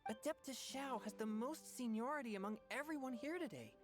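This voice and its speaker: A woman's voice: fairly high pitch, fairly quick, natural voice. A woman playing a modest, serious youthful exorcist in a game cutscene. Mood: surprised, deferential. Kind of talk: animated game cutscene dialogue. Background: background music.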